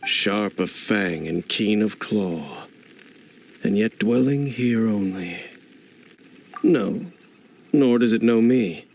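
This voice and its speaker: Grave low-voiced wandering swordsman. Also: gravelly, darkish tone, a little hiss or hum. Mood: brooding, solemn. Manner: grave, measured, cryptic. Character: solemn, enigmatic adult male wandering swordsman. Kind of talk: scripted fantasy game voice acting.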